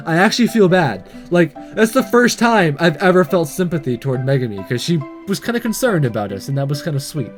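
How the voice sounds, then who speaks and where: A man's voice: fairly high pitch, very animated, a little fast, few pauses, faint music behind. A man hosting a gaming video, reading a visual novel aloud with casual comments and stumbling over the odd line. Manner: stumbles and rereads lines.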